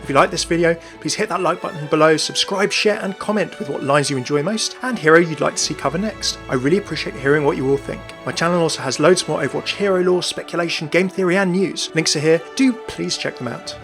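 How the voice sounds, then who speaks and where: Quick, higher-pitched man narrating. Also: fairly bright tone. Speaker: video host and narrator. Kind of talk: scripted narration, game lore commentary. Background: music.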